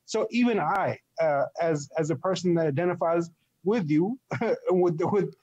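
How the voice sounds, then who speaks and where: A man from Ethiopia speaks as an interview guest in a husky, somewhat high voice. He explains things thoughtfully, repeating words as he forms formal phrases, and sounds dry with little room echo. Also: unhurried, a little mellow, lo-fi sound. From born in Ethiopia. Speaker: interview guest, article writer.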